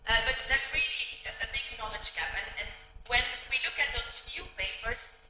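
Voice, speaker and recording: A woman's voice: on the high side, animated, music in the background. Woman lecturing in an echoey hall. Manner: measured, with frequent ums and uhs. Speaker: conference speaker. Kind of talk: academic lecture. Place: large conference hall.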